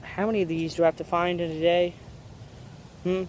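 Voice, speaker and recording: A man's voice: high voice, level tone, fairly quick, darkish tone, slight background noise. A young American man hosting a rambling vlog in a mock British accent, walking outdoors around a school campus and filming on a phone. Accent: American speaker putting on a mock British accent. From United States. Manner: jokey and meandering, full of fillers and self-corrections. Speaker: vlogger host. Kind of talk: rambling walk-and-talk vlog.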